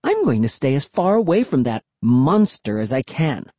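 Storybook sister played by a man: shrill, demanding, disapproving, very lively intonation. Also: normal speed, dry recording. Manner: nasty, demanding.

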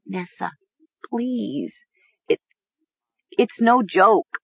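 A woman's voice: medium pitch, animated, very slow, creaky, slightly rough, muffled recording, pitched above the performer's own voice, full character voice. A woman voicing a shocked, grieving young woman in an audiobook, choked, faint and stammering. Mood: pleading, choked. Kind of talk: audiobook character acting.

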